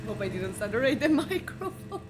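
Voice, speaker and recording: A woman's voice: fairly high pitch, sing-song, a little fast, background noise. A woman who is a native Spanish speaker, hosting a game live stream and chatting encouragingly with her viewers. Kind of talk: live stream chat, reading comments aloud.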